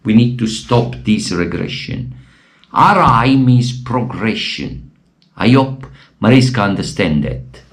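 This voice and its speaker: An Italian-accented man speaks to camera as a fan vlogger, emotional and fervent. His pitch swings widely while he keeps a slow, deliberate pace. His voice sounds a little strained and worn. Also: very animated. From Italy. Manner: emotional, passionate, bursts into shouted appeals. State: losing his voice. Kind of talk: impassioned fan commentary to camera.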